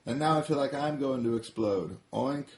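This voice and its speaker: A man's voice: medium pitch, normal speed, vocal fry, darkish tone, slight background noise, pitched above the performer's own voice, natural voice. A man doing a small oinking cartoon pig. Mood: silly. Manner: oinking between words. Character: small excitable talking pig, giggly. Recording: roomy echo.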